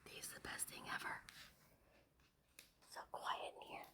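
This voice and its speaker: A woman talking casually in a breathy whisper, murmuring in wonder and repeating herself, recorded indoors at home. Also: a little slow. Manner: marveling, repetitive murmuring. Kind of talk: hushed casual self-recorded chat.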